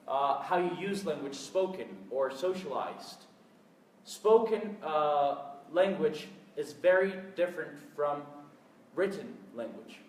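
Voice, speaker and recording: Young male speaker, native Spanish, earnest and halting with fillers, echoey room, faint ambient noise. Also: a little high. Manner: earnest, hesitant with frequent fillers. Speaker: event speaker. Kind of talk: public talk to an audience. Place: event room with live audience. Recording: echoey room, mic at a distance.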